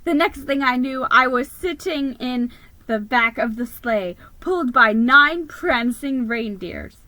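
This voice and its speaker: Animated, bright-voiced woman reading a story. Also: sits high, takes it slowly, dry recording. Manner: theatrical, expressive. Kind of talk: dramatic children's story reading.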